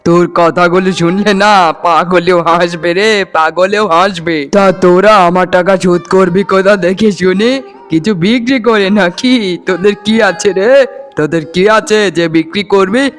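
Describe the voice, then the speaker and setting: A man's voice: sits high, unhurried, fairly bright tone, soft background music. A man voicing an arrogant, heartless rich uncle in a melodramatic cartoon, mocking and scornful. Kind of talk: melodramatic Bengali cartoon voice acting.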